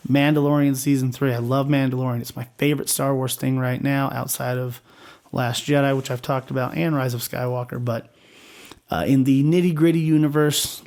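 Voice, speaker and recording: A young man chats to his viewers in an easygoing, upbeat way, full of fillers. His voice is slightly hoarse, and his pitch sits in the middle for a man. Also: darkish tone. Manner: chatty, upbeat, many fillers. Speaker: video host. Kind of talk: casual enthusiastic fan commentary.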